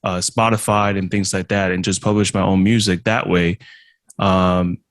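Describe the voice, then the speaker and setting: A man from Taiwan whose first language is Chinese, a musician and streamer answering interview questions in a halting way, with many restarts and fillers. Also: deep voice, little pitch movement, no room sound. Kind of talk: casual interview.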